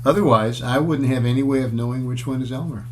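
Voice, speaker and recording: A man's voice: mid-range voice, a little mellow, slight background noise. A man reading a children's picture book aloud in a storytelling style, with chatty asides to his listeners. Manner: chatty, pauses to comment on pictures and question listeners.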